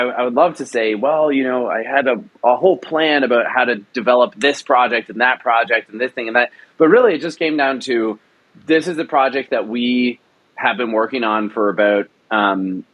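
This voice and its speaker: A man being interviewed, explaining casually in a halting way, repeating phrases as he goes. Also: mid-range voice, fairly bright tone, dry recording, poor audio. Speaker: radio drama producer, interview guest.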